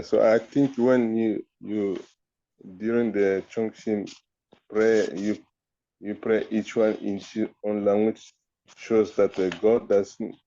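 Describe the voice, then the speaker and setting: Man with a warm, fairly low voice; halting phrases, lo-fi call audio. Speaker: prayer group member. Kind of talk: casual group video call.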